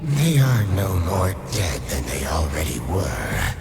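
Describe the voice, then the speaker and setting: A man voicing a disembodied lich guide in a dark fantasy radio play, urgent, commanding and exasperated. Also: sits low, huge pitch swings, takes it slowly, creaky, heavily acted. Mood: scolding. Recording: dramatic music behind. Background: loud music bed.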